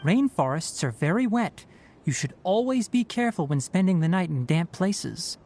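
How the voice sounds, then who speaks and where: A woman voicing a patient, knowledgeable young male forest ranger in a video game, who explains things calmly and teases a little. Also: lowish voice, normal speed, a little hiss or hum. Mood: instructive. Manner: calm, explanatory teacher, mildly teasing.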